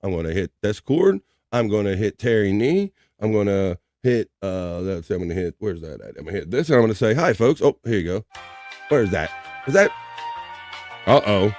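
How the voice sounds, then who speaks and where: A man's voice: fairly low pitch, animated, moderate pace, no room sound, soft background music. A man hosting a live stream, casually reading out viewer comments and joking with the audience. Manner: upbeat, joking, shouts out viewers by name. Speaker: host.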